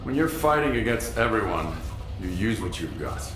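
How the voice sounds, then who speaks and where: A grim, guarded stalker. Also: male voice, sing-song, moderate pace. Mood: cold. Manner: low, terse, distrustful interrogation. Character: grim veteran male stalker, guarded and cold. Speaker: game character. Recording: roomy game cutscene with music.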